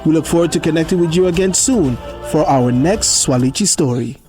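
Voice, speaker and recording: A male announcer reads a scripted sign-off. His voice sits on the high side for a man, with clear music playing underneath. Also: a little fast, mellow.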